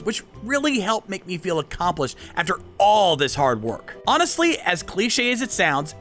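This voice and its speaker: Bright, high-pitched, expressive man narrating. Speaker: YouTuber and voice actor. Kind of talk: scripted video narration. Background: background music.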